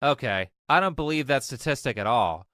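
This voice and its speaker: A man's voice: mid-range voice, lively intonation, normal speed, over music. A man giving opinion commentary for YouTube in an emphatic, scornful manner.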